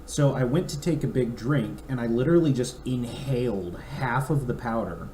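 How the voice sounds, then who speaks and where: A young man co-hosting a podcast, his voice a little raspy and mellow. He chats loosely and reads a forecast aloud, stopping for asides. The room is echoey, with faint noise underneath. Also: mid-range voice, normal speed. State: raspy throat. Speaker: podcast co-host. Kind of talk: casual chat.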